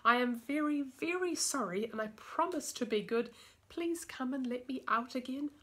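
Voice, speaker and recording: A woman's voice: sits high, moderate pace, sharp tone. A woman voicing a remorseful storybook monster, earnest and pleading. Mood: sorry, pleading. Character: remorseful, pleading male monster writing an apology.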